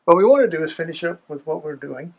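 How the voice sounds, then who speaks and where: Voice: medium pitch, animated, fast talker, creaky, highs cut off. A podcast co-host chatting casually in brief, matter-of-fact replies. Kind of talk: casual chat.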